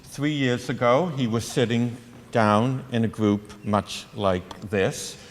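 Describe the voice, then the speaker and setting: A man's voice: mid-range voice, takes it slowly. A man as master of ceremonies, formally and proudly introducing the next speaker. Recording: reverberant hall with audience.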